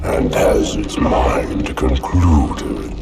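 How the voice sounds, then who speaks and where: An actor voicing a colossal ancient alien parasite intelligence in a video game, gravelly and monstrous, speaking in slow, grandiose riddles with deep processing. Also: male voice, recorded from a distance, exaggerated voice. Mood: ominous, grandiose. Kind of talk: dramatic video game acting. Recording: echoing, loud cinematic music behind.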